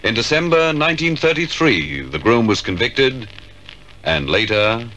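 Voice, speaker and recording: A man giving formal, deliberate scripted narration for a 1950s radio crime drama. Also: mid-range voice, sing-song, sharp tone, rough recording. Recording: hissy 1950s radio recording.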